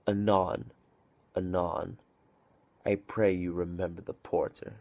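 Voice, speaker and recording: A man's voice: low-pitched, level tone, slow, creaky, a little mellow, a little hiss or hum, full character voice. A man playing a grumbling, sardonic gatekeeper porter in a theatrical Shakespearean stage monologue. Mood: mocking, irritated. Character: drunken grumbling male gatekeeper porter, mockingly welcoming sinners.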